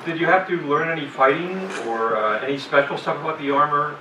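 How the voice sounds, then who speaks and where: Man moderating a panel, slightly high voice. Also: a little fast. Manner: friendly, loose questions with fillers. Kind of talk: casual interviewing. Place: convention panel room. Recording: echoey hall, distant mic, loud room noise.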